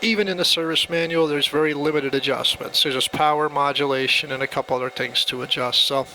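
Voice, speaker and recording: A man's voice: on the high side, steady tone, brisk, bright, forceful tone, poor audio. A man giving a casual, plainspoken step-by-step tutorial as he demonstrates a device. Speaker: product demonstrator. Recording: faint background noise.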